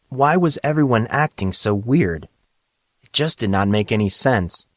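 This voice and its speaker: Male narrator for audio fiction, mid-pitched, scripted read, recorded dry and close. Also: fairly bright tone, dry recording.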